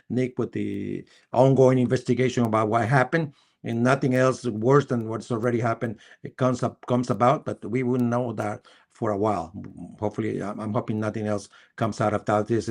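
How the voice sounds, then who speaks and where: A man with a Costa Rican accent, a native Spanish speaker, hosting a live sports discussion in a halting, repetitive way with emphatic bursts. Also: mid-range voice. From Costa Rica. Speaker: sports talk show host.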